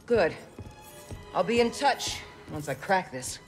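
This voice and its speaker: A woman playing a calm, middle-aged former warrior mentor in a cinematic video game, measured and earnest. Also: mid-range voice, faint music behind. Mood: focused.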